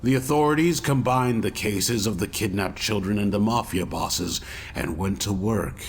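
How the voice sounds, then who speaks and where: An actor playing a resolute investigator who gives measured briefings to a detective in a mystery game. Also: male voice, fairly low pitch, a little hiss or hum, natural voice. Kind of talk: scripted game cutscene dialogue.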